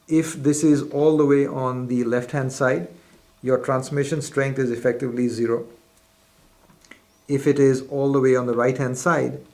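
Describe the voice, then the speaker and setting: A man's voice: medium pitch, darkish tone. A man presenting a step-by-step instructional demonstration in a methodical, formal, explanatory way. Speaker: product demonstrator.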